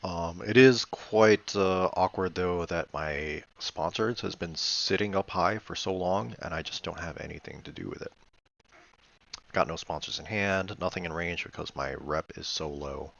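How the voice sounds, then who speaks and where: A man's voice: low voice, normal speed, vocal fry, a bit bright, muffled recording. A man giving casual commentary on a board game replay, thinking aloud in a hesitant, matter-of-fact way. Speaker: board game player reviewing own replay.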